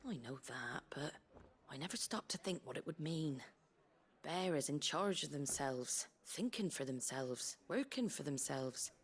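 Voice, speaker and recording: A woman's voice: low-pitched, lively intonation, measured pace, husky, exaggerated voice. A wry, earthy British innkeeper woman. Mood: wry, reminiscing. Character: plain-spoken innkeeper woman, brisk, wry, tough but kindly. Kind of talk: video game character dialogue. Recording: game music behind the voice.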